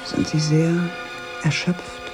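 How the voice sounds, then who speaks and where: A mysterious female apparition of an opera singer, speaks slowly and softly. She is seductive and probing in an intimate radio drama, with music playing behind her. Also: deep voice, little pitch movement. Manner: slow, hushed, probing.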